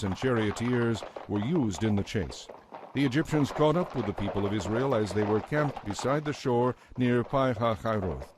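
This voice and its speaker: A man with a mid-pitched voice reads scripture as a narrator. His delivery is measured and declarative, suited to dramatized storytelling, with faint ambient noise behind him. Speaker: Bible narrator.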